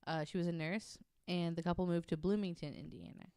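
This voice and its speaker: A woman's voice: lowish voice, fairly even intonation, fairly quick, dark tone, clear, resonant tone, no echo, clean studio sound. A woman hosting a podcast, telling nonfiction stories casually from her notes with informal, wry asides. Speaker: podcast host.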